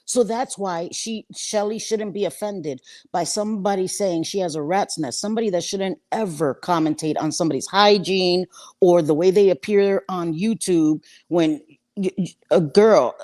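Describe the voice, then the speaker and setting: A woman in her fifties with a husky voice, gossiping bluntly and profanely as a livestream co-host. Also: lowish voice, moderate pace, darkish tone. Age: 50s. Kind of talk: casual gossip livestream chat.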